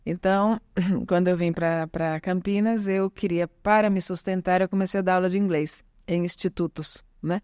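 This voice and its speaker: A woman's voice: lowish voice, steady tone, moderate pace, darkish tone, smooth, dry recording, clean studio sound. An Italian-born woman whose first language is Italian, talking in a chatty way as an interview guest, with frequent tag questions and restarts. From Italy. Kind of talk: casual conversational interview.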